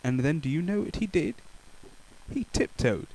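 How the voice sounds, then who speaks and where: A man reading a children's picture book aloud in a playful, expressive way. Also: a little high, normal speed, slight background noise, low-quality recording. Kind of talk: animated storybook read-aloud.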